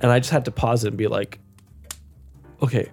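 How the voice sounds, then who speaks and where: A man's voice: mid-range voice, fairly even intonation, a little fast, darkish tone, husky, faint music behind. Emphatic male podcast co-host. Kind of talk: casual film and TV chat.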